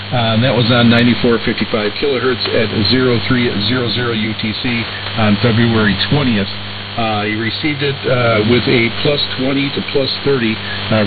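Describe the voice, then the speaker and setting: A man hosting a hobby radio show, reading listener mail in a halting way with very frequent filled pauses, heard over shortwave radio. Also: lowish voice. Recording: hissy, humming narrow-band shortwave radio broadcast.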